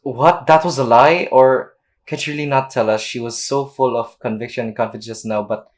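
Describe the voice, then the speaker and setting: A woman's voice: extremely low pitch, fairly even intonation, brisk, darkish tone. A woman streamer giving casual reaction commentary while playing a video game. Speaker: gameplay streamer.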